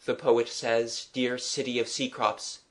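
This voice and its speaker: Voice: mid-range voice, very animated, a little slow. A reader voicing a genial, well-travelled man who paints, with a dry, kindly, musing manner in a storybook. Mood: soft, musing.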